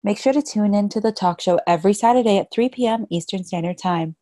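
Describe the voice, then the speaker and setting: A woman hosting an interview talk show, reading a scripted opening and then asking relaxed questions. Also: medium pitch, fast talker, no echo, clean studio sound.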